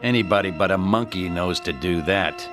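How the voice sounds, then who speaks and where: An actor playing a cocky, menacing avenger in a crime radio drama, with slangy, taunting, sardonic delivery. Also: male voice, low-pitched, moderate pace, fairly bright tone, soft background music. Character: cocky, menacing male avenger posing as gangster's messenger. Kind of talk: hard-boiled crime radio drama acting.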